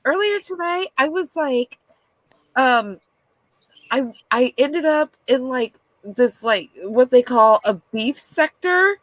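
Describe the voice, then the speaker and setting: A woman's voice: sits high, expressive, takes it slowly, fairly bright tone, no room sound, poor audio. A woman in her fifties, chatting as a guest on a live stream in a bubbly, self-deprecating way. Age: 50s. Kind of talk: casual chat on a live stream.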